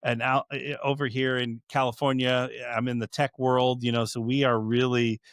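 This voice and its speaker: A man's voice: mid-range voice, level tone, moderate pace, no room sound, clean studio sound. A middle-aged man hosting a podcast, rambling with stammers and fillers. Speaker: podcast host. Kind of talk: casual interview chat.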